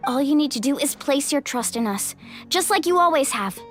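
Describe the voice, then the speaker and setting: High-voiced, determined young heroine. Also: female voice, lively intonation, normal speed, soft background music. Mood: firm, encouraging. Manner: earnest, emphatic, warm encouragement. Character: spirited young heroine, loyal, determined, protective. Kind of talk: English video game voice acting.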